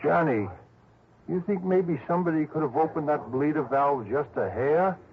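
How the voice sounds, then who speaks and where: An actor playing a chatty, eager, folksy police sergeant in a 1960s radio drama. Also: male voice, on the high side, normal speed, close to an everyday voice. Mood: speculative. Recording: dull, faintly noisy old radio recording.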